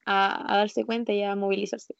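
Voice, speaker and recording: A woman's voice: medium pitch, little pitch movement, normal speed, gravelly, no room sound, muffled recording. A woman being interviewed as a union leader, speaking informally in a candid, earnest, colloquial way. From Spain, living in Chile.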